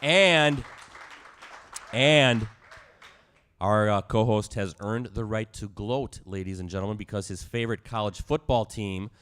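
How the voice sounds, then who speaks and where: Man, sports talk host, hoarse squeaky voice, smooth, hyping a bar crowd. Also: measured pace. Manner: energetic announcer, hyping the crowd. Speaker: show host. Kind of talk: live sports talk show hosting. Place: bar with live audience.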